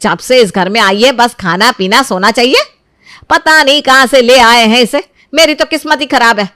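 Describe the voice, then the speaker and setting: A woman playing a high-pitched, bitter, bossy mother-in-law. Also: normal speed, sharp tone, dry recording, clean studio sound. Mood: harsh scolding. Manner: scolding, bossy, accusing. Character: bitter, vengeful, bullying older Indian mother-in-law. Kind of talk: acted dialogue in Hindi moral story cartoon.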